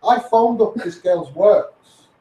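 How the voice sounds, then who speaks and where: A man's voice: high voice, lively intonation, slow, dark tone, echoey room, low-quality recording. A man with a Scouse accent giving a blunt, sweary, taunting rant to his online followers. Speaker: social media personality.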